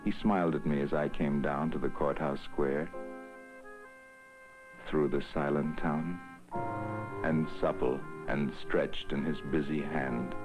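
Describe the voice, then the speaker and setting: Very deep, gravelly male narrator. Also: low-quality recording. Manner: slow, grave, measured delivery. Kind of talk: dramatic poetry reading for an animated film. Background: orchestral film score.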